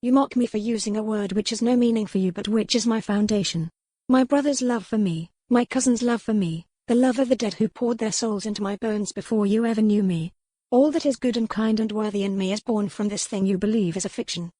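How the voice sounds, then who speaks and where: A woman's voice: mid-range voice, fairly quick, dark tone, no room sound, close to an everyday voice. A woman voicing a proud, heartfelt young captive woman in an audiobook romance, speaking earnestly and formally. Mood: wounded, impassioned. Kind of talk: audiobook character dialogue.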